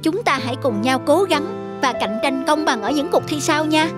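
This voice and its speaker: An earnest young schoolboy played by a woman in a cartoon, very high in pitch and sincere in delivery. He sounds loyal and principled, over clearly audible music. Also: expressive, moderate pace. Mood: forgiving. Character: earnest, loyal schoolboy, hurt but forgiving. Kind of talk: cartoon dubbing. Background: prominent music.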